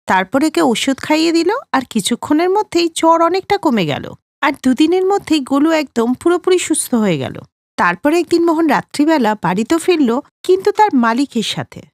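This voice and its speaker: A woman's voice: high-pitched, big pitch swings, fairly quick, a little mellow, no echo, clean studio sound. A woman reading scripted narration for a story, as a storyteller.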